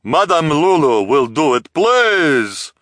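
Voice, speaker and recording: A man voicing a theatrical fake fortune-teller woman in an audiobook, grand and booming. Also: very animated, unhurried, a bit bright, dry recording, clean studio sound. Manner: grand, booming, speaks of herself in third person.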